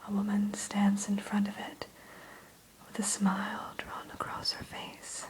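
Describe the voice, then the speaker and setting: A woman narrating a guided ASMR story in a soothing whisper, slowly, with deliberate pauses. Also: mid-range voice, monotone, rough recording. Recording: faint background hiss.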